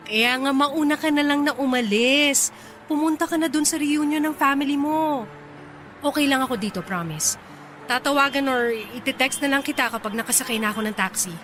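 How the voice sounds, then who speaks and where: An actress playing a proud, easily irritated but bashful young office boss in a Filipino radio drama, with a Filipino accent. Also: female voice, high voice, a little fast, a bit bright, soft background music. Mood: hesitant, bashful. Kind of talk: radio drama acting in Taglish.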